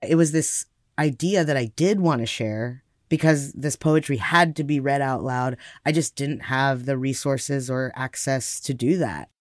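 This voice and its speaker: A man's voice: mid-range voice, unhurried, dry recording, studio quality. A man with an American accent telling personal stories reflectively and conversationally as an interview guest.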